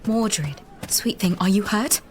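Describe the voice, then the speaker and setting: A woman's voice: medium pitch, slow pace, slight background noise, lightly acted. An actress playing a gracious, assured queen in an audio drama, speaking in a courtly, solicitous way. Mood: concerned. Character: gracious, assured queen, tender toward a young knight.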